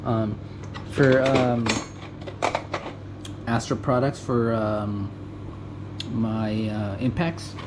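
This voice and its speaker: Casual guy from a mexican family showing off his tools, ums and restarts, camera mic with loud ac hum behind. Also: mid-range voice, fairly even intonation, extremely slow, pauses often. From Mexican family, born in the US. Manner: rambling, many fillers, self-correcting, chuckles. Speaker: tool vlogger. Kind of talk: casual show-and-tell. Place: junkyard tool talk recorded in Japan. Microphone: GoPro camera mic. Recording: noisy camera audio, air conditioning hum.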